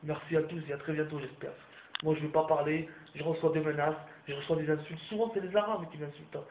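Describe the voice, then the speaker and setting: A man's voice: on the high side, fairly even intonation, fast talker. A young man from France ranting straight to his viewers, heated and repetitive, with crude slang. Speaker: vlogger. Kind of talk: ranting monologue to camera. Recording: roomy, muffled home recording with faint background hiss.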